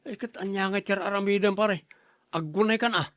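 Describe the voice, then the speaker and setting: A man's voice: high-pitched, fairly even intonation, normal speed, fairly bright tone, dry recording. A man playing a henpecked, hard-drinking husband in an audio drama, grumbling and protesting in a defensive manner. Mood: sociable. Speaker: voice actor in a drama. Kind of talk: Ilocano audio drama acting.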